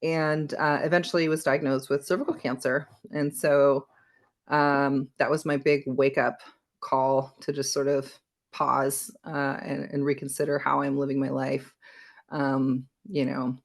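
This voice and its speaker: A woman's voice: a bass voice, little pitch movement, a little slow, vocal fry. A woman in her forties who grew up in Belgium, a wellness coach being interviewed on a podcast, talking reflectively with frequent ums and restarts.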